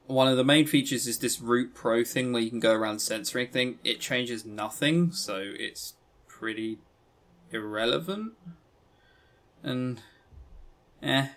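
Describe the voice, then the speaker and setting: A man with a mid-pitched voice talks casually as a podcast co-host. His delivery is unscripted and wry, with a self-deprecating streak. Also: a little slow, long pauses, fairly bright tone. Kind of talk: casual unscripted podcast chat about games.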